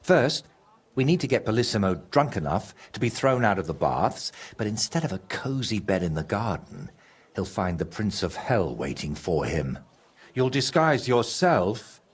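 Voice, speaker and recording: An actor playing a troubled, scheming male painter in a video game, excitable and theatrical, with a husky voice. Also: on the low side, normal speed. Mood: gleeful scheming. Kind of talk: video game character dialogue.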